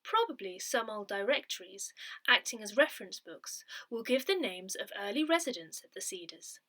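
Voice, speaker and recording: A woman's voice: mid-range voice, animated, moderate pace, bright, forceful tone, low-quality recording. A woman reading a newsletter aloud as scripted narration. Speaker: newsletter reader.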